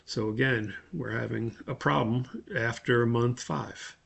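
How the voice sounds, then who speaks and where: A man giving a methodical, step-by-step spoken tutorial as an instructor, with a creaky voice. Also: medium pitch, steady tone, a little slow, a bit bright. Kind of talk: spoken screen-share tutorial.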